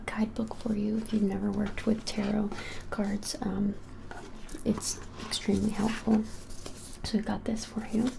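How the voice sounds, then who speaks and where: A woman in her twenties whispering softly and gently in an unhurried ASMR shop roleplay. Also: mid-range voice, background noise. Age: 20s. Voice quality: soft, breathy whisper. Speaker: ASMR creator playing a witch shop keeper. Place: small indoor room, plain wall.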